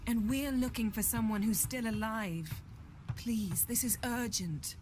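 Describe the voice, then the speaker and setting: A woman's voice: a little high, a little slow, music in the background, close to an everyday voice. Woman as a wry, imperious sorceress. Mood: insistent. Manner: wry, assertive. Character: sardonic, imperious sorceress, impatient and sharp-witted. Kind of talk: fantasy video game acting.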